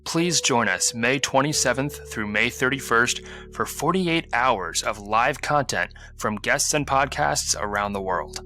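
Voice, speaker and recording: A man's voice: mid-range voice, animated, unhurried, hardly any pauses, pushed and bright, faint music behind. A man reading a scripted charity promo as an announcer.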